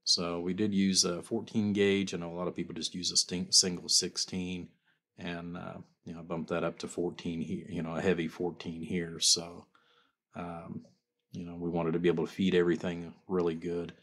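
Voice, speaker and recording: A man's voice: deep voice, level tone, moderate pace, a bit bright. A man giving a casual, rambling workbench demonstration in a folksy, informal way, like a builder showing his work. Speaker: custom amplifier builder presenting his work.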